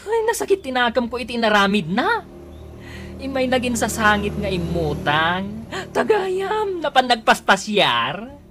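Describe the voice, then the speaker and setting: A woman's voice: fairly high pitch, sing-song, measured pace, background noise. A woman playing an indignant, scornful female creditor in an Ilocano comedy radio drama, exclaiming with an Ilocano accent. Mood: scornful, vengeful. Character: indignant female creditor out to expose her debtor.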